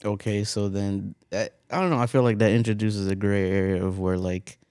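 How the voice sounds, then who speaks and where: Man, young, very low voice, steady questioner, dry studio sound. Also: normal speed, clean tone, dry recording. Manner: steady, asks questions, explains plainly. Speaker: podcast co-host. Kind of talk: casual interview chat. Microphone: close studio mic.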